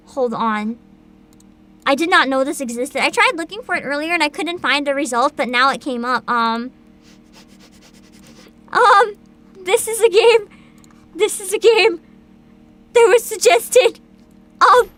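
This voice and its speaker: A woman hosting a livestream, chatting casually and reacting in an animated, exclamatory way with frequent swearing. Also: very high voice. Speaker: livestream host, VTuber.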